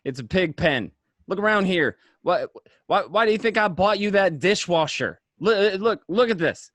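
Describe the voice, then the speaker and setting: A man's voice: high voice, normal speed, dry recording. A man playing a talkative, edgy gangster in a radio drama, with a conversational way of talking. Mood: exasperated. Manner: animated, conversational, repeats himself. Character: talkative, edgy male gangster recounting and scheming. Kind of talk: film script read as radio drama.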